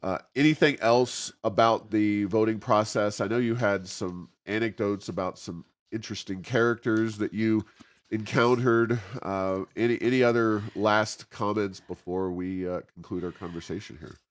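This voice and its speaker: Podcast interviewer, male, American. Deep register, conversational delivery with frequent hesitant, circling phrasing. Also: steady tone. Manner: halting, roundabout phrasing with many hesitations. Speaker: interview host.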